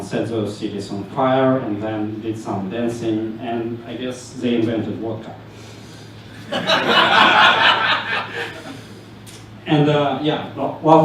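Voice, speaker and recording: A man's voice: mid-range voice, a bit bright, far from the mic, a little hiss or hum. Ukrainian-accented man in an echoey room. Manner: halting, frequent fillers, joking asides. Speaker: meetup speaker. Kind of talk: presentation to a live audience. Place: meetup room with audience.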